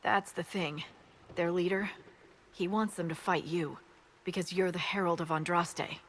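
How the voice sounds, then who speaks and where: An actress playing a brisk woman military scout in a video game, briefing in a frank, dry way. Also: lowish voice, fairly even intonation, a little hiss or hum, natural voice.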